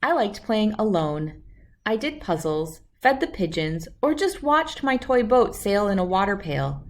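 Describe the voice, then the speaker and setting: A woman reading a children's storybook aloud in an expressive way, acting out the lines. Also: mid-range voice, a little slow. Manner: expressive, acts out character lines. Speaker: read-aloud host.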